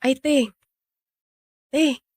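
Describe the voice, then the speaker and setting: A woman's voice: sits high, steady tone, extremely slow, darkish tone, dry recording, studio quality. A Filipino woman acting in a radio drama as a blunt, sarcastic young best friend who scolds and teases. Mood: teasing. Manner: sassy, tactless, nagging.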